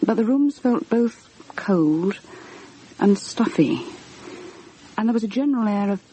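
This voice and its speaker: A woman's voice: medium pitch, expressive, unhurried, mellow, a little hiss or hum, close to an everyday voice, rough recording. An actress playing a lonely, depressed woman writer of thirty-two, subdued and reflective, in a radio drama with narration. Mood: brooding narration. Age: 30s. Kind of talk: radio drama acting and first-person narration.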